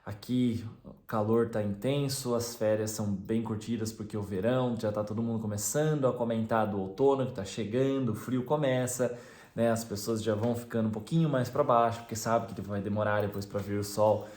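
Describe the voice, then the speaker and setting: A man's voice: fairly low pitch, steady tone, brisk, darkish tone, echoey room. A young adult man from Brazil with a Brazilian Portuguese accent, talking casually to camera as a teacher, rambling with many fillers and restarts. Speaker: teacher and vlogger. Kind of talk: casual vlog monologue.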